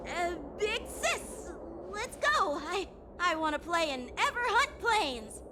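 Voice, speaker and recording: A woman's voice: extremely high pitch, sing-song, slow, background noise, heavily acted. A woman playing an eager, cocky boy swordsman in a video game, playful and easily flustered. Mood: excited, pretending.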